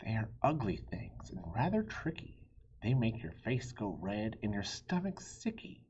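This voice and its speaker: Male children's book reader: very raspy-breathy, slow and deliberate, theatrical with hushed dips. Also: lowish voice, sing-song, muffled recording. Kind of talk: animated read-aloud storytelling for children.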